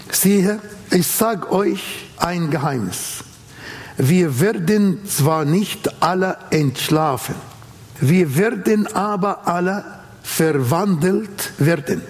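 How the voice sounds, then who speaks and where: A man's voice: sits high, darkish tone, big-room sound. A man with an Arabic accent preaching an earnest sermon in a church setting, quoting scripture with emphasis.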